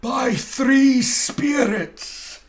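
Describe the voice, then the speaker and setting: A man voicing a mournful ghost in a storybook reading, delivering solemn warnings in slow, wailing, drawn-out proclamations. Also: extremely high pitch, pitched above the performer's own voice, heavily acted. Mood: ominous.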